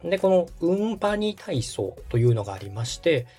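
Animated, husky-voiced man coaching. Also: fairly high pitch, normal speed, faint music behind. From Osaka, Japan. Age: 30s. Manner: encouraging, polite. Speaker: podcast host and radio director coaching vocal warm-ups. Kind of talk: conversational step-by-step instruction.

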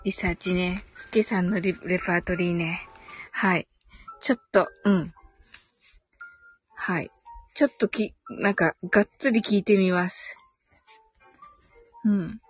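A woman's voice: fairly low pitch, fairly even intonation, measured pace, long pauses, husky, soft background music. A woman hosting a casual live audio chat, answering listener comments in a giggly way, often repeating short phrases of agreement.

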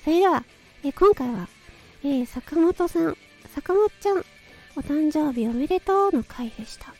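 A woman's voice: high voice, expressive, normal speed, mellow, faint music behind. A woman hosting her own chatty audio show, speaking in an effusive, polite and emphatic way. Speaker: host of a personal audio show. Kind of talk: chatty solo audio broadcast, birthday tribute.

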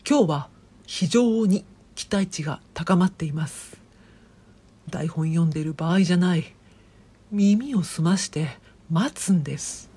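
Slow, low-pitched eccentric professor, acted by a woman. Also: mellow, husky. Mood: tense anticipation. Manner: pompous, theatrical, old-fashioned formal speech. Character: eccentric, excitable professor obsessed with a phantom bird. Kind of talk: comedic audio drama acting.

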